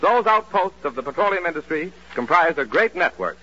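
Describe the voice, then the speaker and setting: Male sponsor announcer, polished and formal, bright, on a dull old-time radio recording. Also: a little high, big pitch swings, a little fast, slightly rough. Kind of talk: scripted 1940s sponsor announcement.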